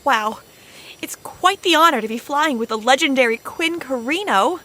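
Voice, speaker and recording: A woman's voice: high-pitched, animated, moderate pace, a bit bright. A woman playing an eager, starstruck rookie agent in a comedic audio drama, gushing and plucky. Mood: nervous, admiring.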